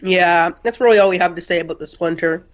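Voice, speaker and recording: A man's voice: high-pitched, fast talker. Blunt young guy with a cold. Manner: blunt, dismissive, opinionated. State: getting over a cold, sniffly. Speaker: co-host reviewer. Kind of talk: casual review chat.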